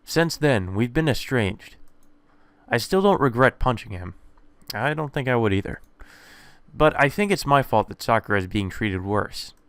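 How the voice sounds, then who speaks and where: A man hosts a let's play, reading story narration aloud and dropping in casual remarks. His voice is clean and mid-pitched, picked up close and dry. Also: lively intonation, moderate pace, a bit bright, clear, resonant tone, no room sound.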